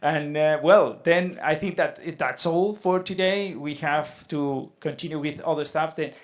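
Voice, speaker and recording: Soft-spoken man hosting an interview. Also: on the high side, unhurried. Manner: soft-spoken, laughs often, short acknowledgments. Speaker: conference host, interviewer.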